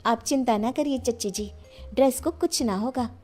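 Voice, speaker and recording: A woman's voice: a little high, moderate pace, a little mellow, faint music behind, lightly acted. A woman playing a humble young village daughter-in-law in a cartoon story, deferential and eager to help. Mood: eager, reassuring. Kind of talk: animated moral-story dialogue.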